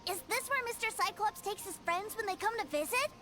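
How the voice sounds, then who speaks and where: A woman voicing an innocent, excitable little boy in an anime-style video game, with a childlike, wide-eyed manner. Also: extremely high pitch, a little fast. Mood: curious, excited. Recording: game audio under loud music.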